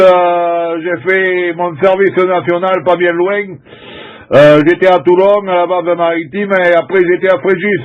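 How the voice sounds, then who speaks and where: A man's voice: high voice, muffled recording. A middle-aged man from France chatting casually and informally as a CB radio operator, using radio jargon. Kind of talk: casual CB radio contact chat.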